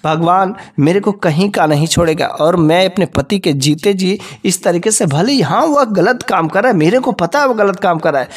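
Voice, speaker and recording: Male narrator as a submissive, devout young wife, high and plaintive, recorded at a distance. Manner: pleading, plaintive.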